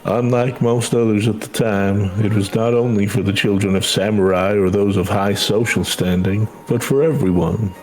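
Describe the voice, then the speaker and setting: A man reading game dialogue as an earnest older schoolteacher, in a measured, formal storytelling manner. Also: on the low side, fairly even intonation, darkish tone, close to an everyday voice, low-quality recording. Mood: earnest, instructive. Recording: music under the voice.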